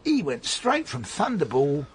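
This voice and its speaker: A man's voice: on the high side, wildly swooping pitch, measured pace, a little hiss or hum. A British man playing an opinionated, wry middle-aged police detective in a radio drama, with an insistent, mock-pompous way of talking. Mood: pedantic, insistent. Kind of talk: naturalistic radio drama dialogue.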